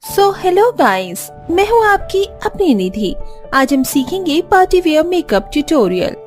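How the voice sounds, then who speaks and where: Woman doing snobby young cartoon girl, high voice, bossy n scornful, music behind. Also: very animated, unhurried. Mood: showy, self-pleased. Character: haughty, snappish young woman, vain makeup vlogger.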